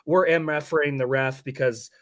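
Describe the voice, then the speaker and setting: A hoarse-voiced man hosting a sports podcast, breaking down a game casually and candidly with some mild swearing. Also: a little high, fairly even intonation, measured pace.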